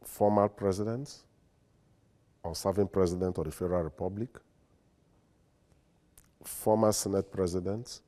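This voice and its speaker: Nigerian man, low voice, mellow tone, formal, emphatic, studio interview guest. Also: fairly even intonation, moderate pace, long pauses. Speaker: guest, lawyer and secretary general.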